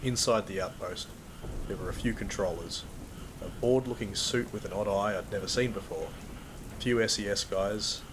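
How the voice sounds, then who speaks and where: Man playing low-voiced, world-weary Australian toad hunter. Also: background noise, natural voice. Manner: blunt, sweary, jaded. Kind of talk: first-person narration and dialogue in an audio drama.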